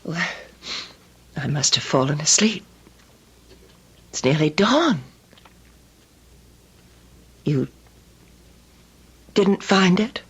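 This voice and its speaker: An actress playing a fretful, guilt-ridden young wife in old-time radio drama style, distraught and pleading. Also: female voice, low voice, expressive, drawn-out words, pauses often, breathy edge, heavily acted. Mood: despondent.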